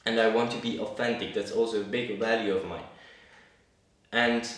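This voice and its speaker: A man's voice: on the low side, steady tone, moderate pace, fairly bright tone. A man whose first language is Swiss German, speaking English in a thoughtful monologue about his personal brand and often searching for words. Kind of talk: reflective talking-head monologue. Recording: echoey room, mic at a distance.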